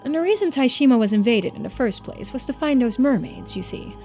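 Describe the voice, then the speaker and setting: Girl playing wistful ageless lore keeper in a game, musing, highish voice, swoopy intonation. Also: animated, brisk, dark tone, soft background music. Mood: remembering, wistful. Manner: explanatory, musing.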